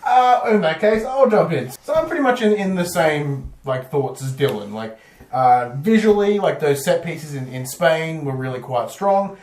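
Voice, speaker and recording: A man's voice: fairly high pitch, animated, moderate pace, a little mellow. An Australian man hosting a podcast, casually talking through a film review in an informal, hedging way. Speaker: podcast host. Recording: echoey room, far from mic.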